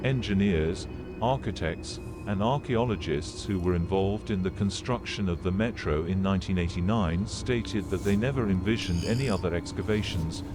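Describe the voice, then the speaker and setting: A man reading scripted documentary-style narration in a serious, measured, formal and matter-of-fact way. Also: low voice, noisy background. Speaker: narrator of an analog horror video. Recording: played video with background music. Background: music.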